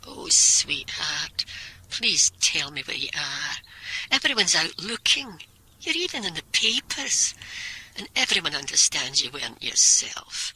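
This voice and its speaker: An anxious mother in an intimate radio drama, tender and imploring. Her middle-aged voice is husky yet very sharp and tense. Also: low voice, sing-song, measured pace, exaggerated voice, rough recording. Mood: pleading, worried. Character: anxious, pleading, loving mother.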